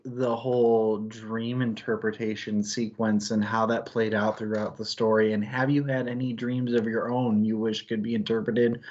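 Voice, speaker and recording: Reflective man, slightly muffled stream sound. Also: mid-range voice. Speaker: co-host of a book club stream. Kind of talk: casual book discussion.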